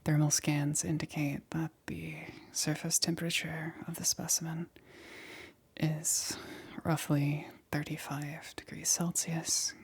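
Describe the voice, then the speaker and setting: Woman, ASMR whisper, very breathy, low, careful scientist roleplay, clinical, close dry sound. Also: level tone, no echo. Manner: slow, deliberate, clinical, instructing. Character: careful, excited female scientist examining an alien specimen.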